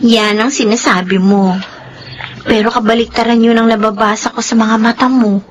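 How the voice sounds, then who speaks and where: An actress in a Filipino radio drama playing an eager, caring older sister and devoted daughter with expressive delivery. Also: female voice, a little high, moderate pace, slight background noise, close to an everyday voice. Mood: gentle, caring.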